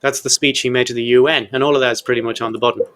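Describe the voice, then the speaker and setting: A man's voice: mid-range voice, fairly even intonation, very fast, a bit bright. A man of about fifty hosting a casual history podcast, jokey and irreverent, who swears offhandedly and breaks up long read-out quotes with his own asides. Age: 50s. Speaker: podcast host.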